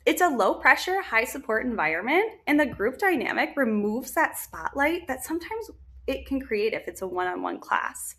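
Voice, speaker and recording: Bright, animated woman teacher. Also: sits high, moderate pace. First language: English. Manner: emphatic, often tags lines with "right?". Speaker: English teacher. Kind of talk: talking-head explainer to parents.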